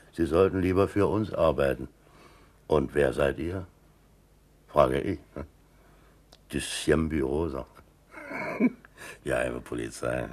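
A man's voice: low-pitched, a little mellow. A man acting in a radio play as a talkative, boastful middle-aged sea captain who teaches chess and tells leisurely anecdotes. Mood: wry, reminiscing. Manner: chatty, boastful, chuckling raconteur.

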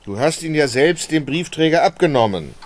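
A male actor playing an idle, fretful gentleman master in a radio play, peevish and lazily bossy. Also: on the high side, expressive, moderate pace, natural voice. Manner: peevish, sighing, lurching from languid murmur to commands.

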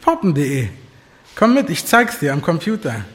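An excitable young guy in a radio drama, talking colloquially with wildly animated pitch. His voice is bright and sits in a reverberant, slightly noisy space. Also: normal speed. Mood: eager, amused. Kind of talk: radio drama acting, casual dialogue.

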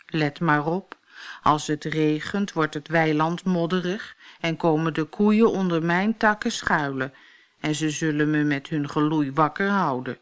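A woman voicing a gloomy, self-pitying male apple tree in a storybook, grumbling and complaining. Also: low-pitched. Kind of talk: fairy-tale storybook voice.

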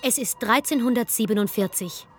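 A woman reading scripted dramatic storytelling narration in a measured way with emphatic pauses. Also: a little high. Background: music.

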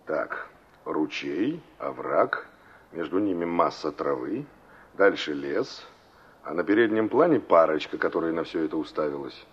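Young carpenter, cocky, brusque, husky voice, teasing manner, dull vintage radio sound. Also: male voice, a bass voice, expressive, measured pace, exaggerated voice. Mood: grumbling, ironic. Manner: mocking, colloquial, gruffly flippant. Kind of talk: Soviet radio play acting. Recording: dull 1960s radio play recording.

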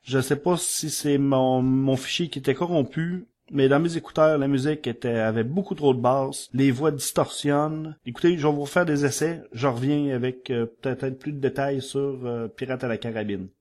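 A man's voice: mid-range voice, normal speed, few pauses, darkish tone. A man with a Quebec French accent giving an informal, enthusiastic review segment as a podcast columnist.

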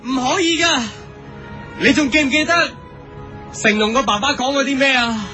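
A man's voice: extremely high pitch, normal speed, over music. A man playing an earnest, overzealous squad hero in a comic radio drama, exclamatory and melodramatic, with a Hong Kong Cantonese accent. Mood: preachy, urgent. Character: earnest, overzealous male squad teammate, cartoon superhero style.

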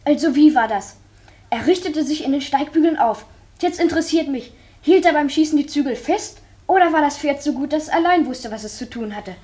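Schoolboy, radio drama, high soft voice, curious, probing, eager. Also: female voice, animated, a little fast. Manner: inquisitive, probing.

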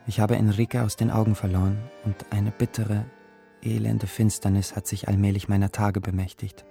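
A gloomy radio drama narrator who looks back on a poor youth. His voice is low and mellow with some creak, somber and measured, over music. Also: level tone.

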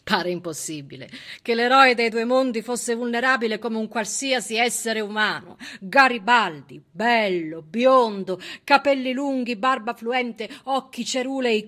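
A woman with a southern Italian accent performing a theatrical monologue in an expressive, dramatic way. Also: fairly high pitch. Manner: expressive, dramatic, shifting between hushed and emphatic delivery. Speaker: stage actress, solo performer. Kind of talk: theatrical monologue with dialect and song.

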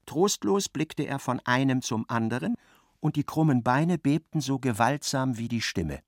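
A man narrates a literary radio play in a mid-range voice. His delivery is expressive and dramatic, with a very mobile melody, recorded dry and close. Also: medium pitch, moderate pace, no echo.

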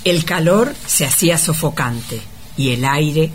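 A woman narrating a scripted radio drama in a measured storytelling manner. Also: deep voice, lively intonation, a little hiss or hum. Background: music.

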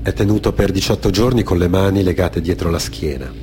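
Deep voiced guy from genoa telling stories for radio theatre, reflective, clear music playing behind him. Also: a little fast. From Genoa, Italy. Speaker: theatre storyteller, author-performer on a radio theatre programme. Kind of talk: dramatic storytelling, partly off-the-cuff anecdotes and partly read testimony.

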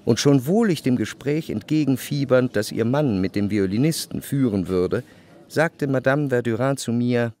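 A man acts an observant literary narrator in a radio play. He tells the story in a measured, wry way, with a medium pitch and widely varied intonation. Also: animated, a little mellow, close to an everyday voice.

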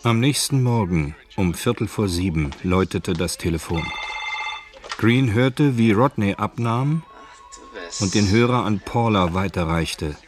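Deep-voiced male radio drama narrator. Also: animated, a little slow, faint music behind.

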